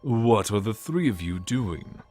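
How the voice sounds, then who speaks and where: A man's voice: lowish voice, animated, measured pace, darkish tone. A man playing a huffy, defensive, haughty god in a fantasy audio drama. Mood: curious. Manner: indignant, haughty. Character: huffy, defensive male god of machine-making. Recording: music under the voice.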